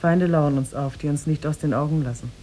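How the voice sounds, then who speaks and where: A woman's voice: low voice, a little fast, warm tone, close to an everyday voice. An actress playing a devoted, shrewd young slave woman in a 1950s German radio drama, pleading tenderly and theatrically. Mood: anxious, warning. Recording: noisy 1950s radio recording.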